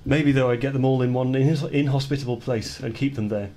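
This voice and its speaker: An actor playing a brooding man who confesses violent fantasies of retribution in a deliberate, intense radio play monologue. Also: medium pitch, steady tone, mellow, a little hiss or hum, close to an everyday voice. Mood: grim, vengeful.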